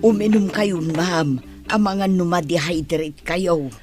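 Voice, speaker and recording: A woman's voice: on the low side, slow, music in the background. A woman playing an attentive, deferential employee in a Philippine radio drama. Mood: soothing.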